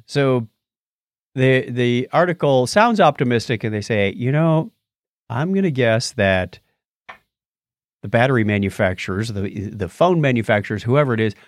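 A man hosts a casual podcast with very animated, swinging intonation. He is playful as he talks through news items. The sound is dry and free of room echo. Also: medium pitch, normal speed. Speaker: podcast host. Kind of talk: casual news banter on a live-streamed podcast.

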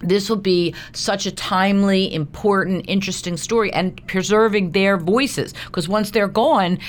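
A woman speaking informally as an interview guest, a film producer who tells her story in an animated way and acts out remarks. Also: medium pitch, a little slow.

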